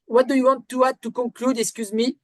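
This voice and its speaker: A woman's voice: high voice, level tone, brisk, no echo, studio quality. A woman with a French accent interviewing a musician over a video call, often hesitating and searching for words.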